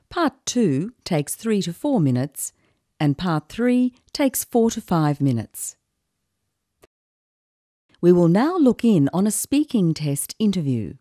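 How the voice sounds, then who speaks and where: A woman narrating an instructional recording in a measured, explanatory way. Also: lowish voice, mellow, no echo, studio quality.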